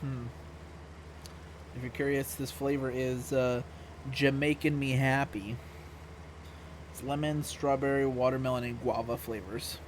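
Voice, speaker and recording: Hoarse young man, flat laid-back voice. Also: mid-range voice, unhurried, pauses often, a little hiss or hum. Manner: laid-back, chatty, talks to viewers. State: hoarse, scratchy voice. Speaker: live streamer. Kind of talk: casual live-stream chat. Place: room at home. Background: music playing.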